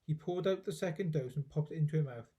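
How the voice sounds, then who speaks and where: A man reading a children's story aloud in an expressive storybook narration style. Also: on the high side, quick pace.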